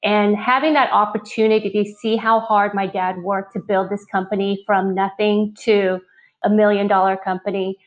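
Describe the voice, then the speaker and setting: A woman's voice: mid-range voice, flat delivery, moderate pace, highs cut off. A middle-aged woman with an American accent, recounting personal memories conversationally as an interview guest. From born in Taiwan, grew up in Northern California. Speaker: interview guest, restaurant founder.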